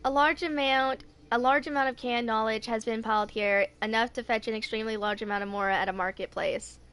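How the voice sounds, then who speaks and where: A woman in her twenties with a fairly high voice narrates her own game playthrough. She reads the text aloud casually and talks to herself in an unhurried way. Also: fairly even intonation, a bit bright, slight background noise. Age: 20s. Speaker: gaming video creator.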